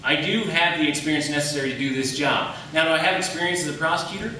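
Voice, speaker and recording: A man's voice: fairly high pitch, fast talker, sharp tone. An American man giving an assertive campaign debate speech as an attorney, emphatic, posing questions and answering them himself. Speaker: defense attorney running for county attorney. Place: echoey hall at a public debate. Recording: very echoey room, distant mic, faint noise.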